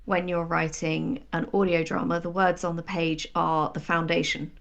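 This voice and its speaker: Lower-pitched woman with creaky voice, explaining candidly. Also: little pitch movement, normal speed, highs cut off. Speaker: guest, audio drama writer. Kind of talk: reflective interview conversation.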